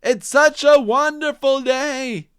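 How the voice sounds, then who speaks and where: A man's voice: very high voice, slow pace, a bit bright, dry recording, studio quality, voice pushed up, heavily acted. A man voicing cheerful, meddling storybook animals in an eager, singsong manner. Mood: coaxing. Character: cheerful, meddling jungle animals, chirpy and coaxing.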